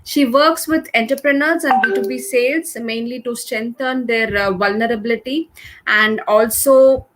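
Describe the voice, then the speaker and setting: A woman from India hosting a talk show, introducing her guest enthusiastically with halting phrasing and fillers. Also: fairly high pitch, slight background noise, rough recording. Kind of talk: casual interview hosting.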